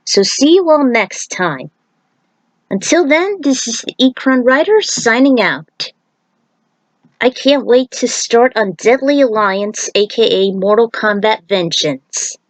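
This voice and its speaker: A woman's voice: a little high, lively intonation, slow, no echo, low-quality recording. Woman narrating in a dry, close recording. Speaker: narrator and author of a fan fiction series. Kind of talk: scripted narration with casual outro remarks.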